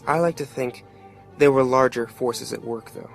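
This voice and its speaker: A man's voice: medium pitch, normal speed, gravelly, soft background music, natural voice. A man playing a plain-spoken working-class father who narrates a dramatic tale in an earnest, colloquial way. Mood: reflective.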